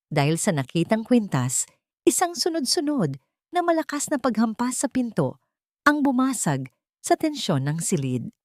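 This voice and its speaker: A woman narrates a dramatic story in a solemn, measured way. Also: lowish voice, darkish tone, no room sound, studio quality.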